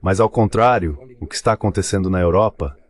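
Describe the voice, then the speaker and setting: Male interview dub, Brazilian Portuguese accent, low-pitched, measured and articulate, formal political commentary. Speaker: dubbed translation voice of an interview guest. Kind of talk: translated political commentary, read voice-over.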